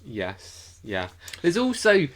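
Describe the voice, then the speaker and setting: A man's voice: a little high, wildly swooping pitch, takes it slowly. A man co-hosting a podcast, chatting casually about a TV show and its fandom in a playful, wry manner. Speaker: podcast co-host.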